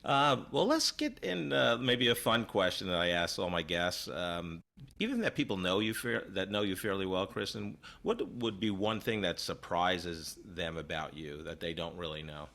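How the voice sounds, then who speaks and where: A man's voice: low voice, lively intonation, moderate pace, gravelly, pushed and bright. A man in his fifties from New York, hosting an interview podcast and talking in a rambling, casual way with many fillers and restarts. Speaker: podcast host.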